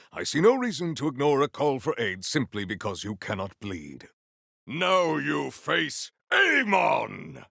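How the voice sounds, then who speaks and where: A man playing a solemn, proud warrior hunter in a video game, speaking in formal, stilted, declamatory sentences with a gravelly low voice. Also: fairly bright tone, no room sound, studio quality, exaggerated voice. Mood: bold, grandiose. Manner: formal, stilted, declamatory speech without contractions. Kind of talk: video game character acting.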